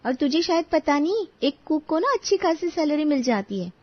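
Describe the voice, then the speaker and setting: A woman voicing an outspoken adult friend in an animated story, talking in a frank, persuasive way. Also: sits high, normal speed, no echo. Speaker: voice actor. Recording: dull, dry studio recording.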